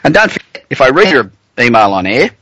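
A man's voice: mid-range voice, sing-song, brisk, pushed and bright, breathy edge, dry recording. A man with an Australian accent hosting a business talk radio show, blunt and joking, chatting with fillers.

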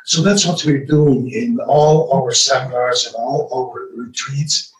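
Curaçaoan man, echoey and distant. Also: mid-range voice. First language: Papiamento. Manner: measured, with frequent fillers. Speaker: wellness retreat organizer. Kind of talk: interview answers.

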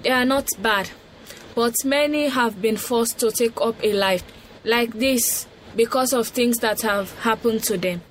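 Higher-voiced woman. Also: unhurried, a little hiss or hum. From Sierra Leone. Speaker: student guest.

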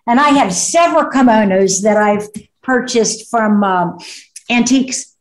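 A woman's voice: a little high, animated, measured pace. A woman hosting a talk show, gushing and rambling enthusiastically about what she loves. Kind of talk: casual interview chat.

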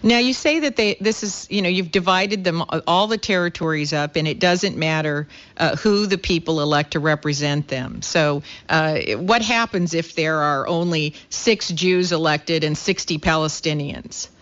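A woman's voice: sits low, normal speed, fairly bright tone. Woman radio host pressing with questions. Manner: probing, presses with follow-up questions. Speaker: radio talk show host. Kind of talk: radio interview. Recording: slightly dull lo-fi radio sound.

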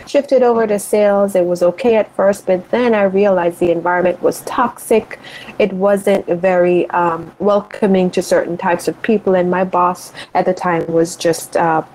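Earnest motivational woman, lowish voice. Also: fairly even intonation, moderate pace, darkish tone, a little hiss or hum, rough recording. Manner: earnest, motivational, thinks aloud with restarts. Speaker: guest, life and money coach. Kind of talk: reflective interview talk.

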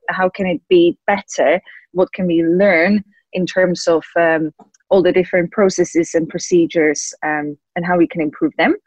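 A young woman with a Czech accent answers interview questions conversationally, often pausing mid-sentence as she goes. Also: fairly low pitch, darkish tone, no room sound, dull audio. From Czech Republic. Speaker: guest, lawyer and compliance officer.

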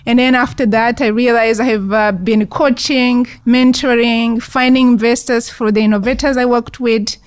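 A woman's voice: fairly high pitch, fairly even intonation, moderate pace, hardly any pauses, clear, resonant tone, no room sound. A middle-aged woman from Rwanda, a guest telling her life story in an interview with earnest, reflective answers and occasional fillers. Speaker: guest, founder of an investment fund.

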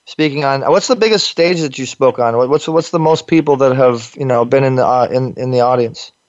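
A man's voice: medium pitch, fairly quick, no echo, muffled recording. A man hosting an informal interview, speaking casually as he puts questions to his guest. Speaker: host and interviewer.

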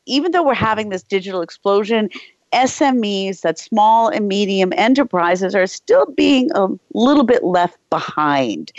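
A woman's voice: medium pitch, dry recording. A woman hosting a radio interview show, talking in a friendly, conversational way. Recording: dry, slightly dull radio studio sound.

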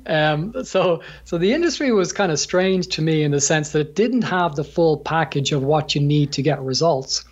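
An Irishman with a husky, somewhat high voice, a gym owner talking through his path in a casual interview. He speaks haltingly, full of ums and restarts, often chuckling mid-sentence. The sound is dull and lo-fi. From Ireland.

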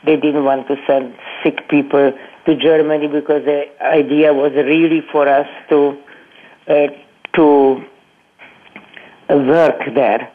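Older woman, halting, over a phone line. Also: deep voice, flat delivery. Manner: halting, with many restarts and repeated words; non-native English grammar. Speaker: Holocaust survivor guest. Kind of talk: personal testimony in an interview.

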